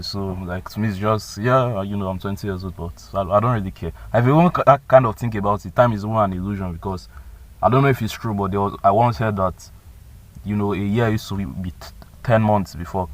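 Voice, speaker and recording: Fast-talking young man rambling advice. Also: lowish voice. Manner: rambling, slangy, lots of "like" and "you know". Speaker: vlogger. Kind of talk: casual advice monologue.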